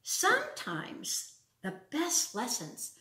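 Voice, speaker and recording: Older american lady doing kids storytime, really up and down pitch, echoey living room. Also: wildly swooping pitch, sharp tone. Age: 60s or older. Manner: simple, deliberate phrasing for young children. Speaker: storytime host reading children's books. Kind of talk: children's storytime talk and read-aloud. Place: living room at home, indoors. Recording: roomy echo.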